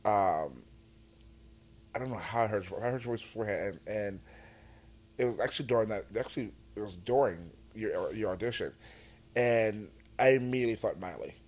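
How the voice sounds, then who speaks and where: A man's voice: on the low side, lively intonation, fairly quick. A man hosting a podcast interview, asking questions with lots of fillers and agreeing often. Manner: affirming, filler-heavy questions. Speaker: podcast host. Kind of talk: casual interview chat.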